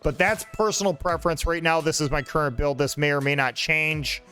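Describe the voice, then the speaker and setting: A man's voice: on the high side, steady tone, a little fast, bright, forceful tone, faint music behind. A man in his forties casually commenting on his own gameplay, rambling and joking with a friend over a desk mic. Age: 40s. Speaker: gaming channel host.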